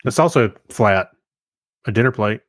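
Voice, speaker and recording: A man's voice: lowish voice, lively intonation, moderate pace, no room sound, studio quality. A man co-hosting a podcast, chatting casually in a laid-back, joking way. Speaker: podcast co-host. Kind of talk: casual chat.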